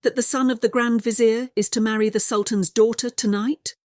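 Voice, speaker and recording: A woman's voice: fairly high pitch, steady tone, a little fast, a little mellow, dry recording, clean studio sound, close to an everyday voice. A woman voicing an eager, gossiping townsperson with emphatic delivery in a fairy tale audiobook. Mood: excited.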